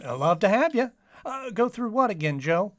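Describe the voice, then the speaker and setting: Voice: mid-range voice, sing-song, normal speed, no room sound, studio quality, natural voice. A performer playing a naive, earnest male police sidekick in a 1950s-style radio parody, rambling in a folksy, repetitive way. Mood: friendly, rambling.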